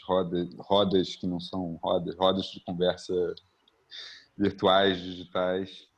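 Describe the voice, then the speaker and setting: A Brazilian man, a philosophy professor, opening an online panel in a halting, casual way on a video call. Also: lowish voice, darkish tone. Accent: Brazilian Portuguese. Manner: halting, restarts phrases, many "né" and "ah" fillers.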